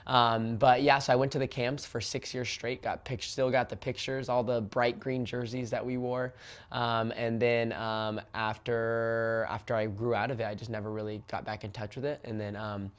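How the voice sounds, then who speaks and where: A man's voice: medium pitch, flat delivery, clear, resonant tone. A young man from Oregon who coaches youth soccer, telling his story in a casual, earnest interview with plenty of fillers and restarts. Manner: earnest, fast talker, many fillers and restarts.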